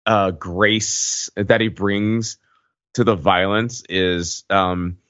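A man co-hosting a podcast, casually discussing film in a halting, stop-and-start way, on a dull recording. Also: low voice, fairly even intonation, a bit bright, no echo. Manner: halting, stop-and-start, many filler tags. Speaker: podcast co-host. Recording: dull, slightly lo-fi, dry microphone.

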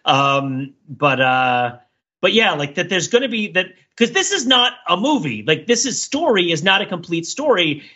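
A man's voice: high voice, sing-song, normal speed, sharp tone, highs cut off. A man giving casual film analysis as a podcast panelist, thinking aloud and checking for agreement.

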